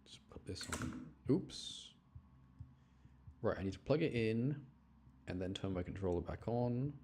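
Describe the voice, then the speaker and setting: Low-voiced man streaming a game. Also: animated, a little slow, darkish tone, a little hiss or hum. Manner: rambling, repeats words and restarts often. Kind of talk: casual live gaming commentary.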